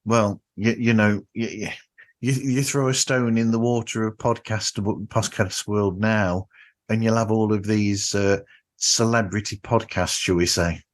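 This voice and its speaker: A man's voice: lowish voice, fairly even intonation, a little slow. A man hosting a podcast, chatting casually with his guest in an interview.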